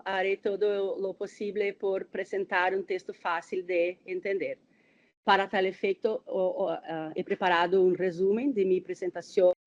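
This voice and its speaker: A woman's voice: mid-range voice, darkish tone, highs cut off. A woman with a Brazilian Portuguese accent, a doctoral candidate, carefully reading a prepared academic presentation in a non-native language, with small hesitations.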